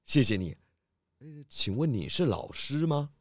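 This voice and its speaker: A man's voice: medium pitch, very animated, a little slow, heavy background noise. A man voicing a composed, polite pig police detective who hesitates slightly, in a children's audio drama. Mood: polite, pleased.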